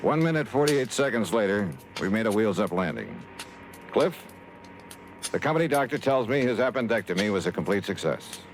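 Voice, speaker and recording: A man's voice: fairly low pitch, expressive, moderate pace, background noise. A man playing a wry, breezy American insurance investigator, narrating his report punchily in 1950s radio drama style. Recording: old radio recording with loud music.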